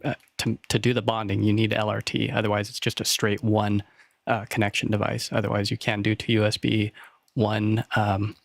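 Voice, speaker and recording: A man with a low, creaky voice gives casual technical advice as a panelist. His intonation stays quite flat and the sound is dry. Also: moderate pace, no echo. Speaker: panelist giving equipment advice.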